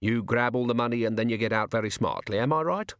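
A man's voice: medium pitch, fast, fairly bright tone, close to an everyday voice. A man voicing a neat, fussy, careful middle-aged thief with a precise, prim voice.

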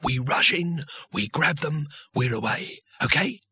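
A man voicing a gruff, bossy wizard leader who talks in a snappy, commanding way in a fantasy story. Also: a little high, animated, a little slow, sharp tone, dry recording, full character voice. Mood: brisk command.

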